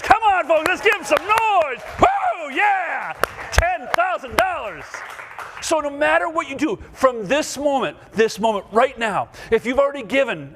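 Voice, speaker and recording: A man hosting a live gala fundraiser, speaking with excited, urgent, rallying energy. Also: very high voice. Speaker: fundraising host.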